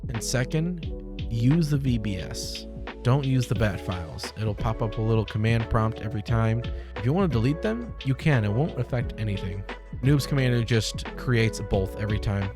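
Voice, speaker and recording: A young man explains software in an informal, conversational way. His voice sits at an average male pitch, captured close on a desk microphone indoors. Music plays clearly underneath. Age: young adult. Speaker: tutorial host. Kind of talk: casual software tutorial to camera. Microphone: close desk microphone on boom arm.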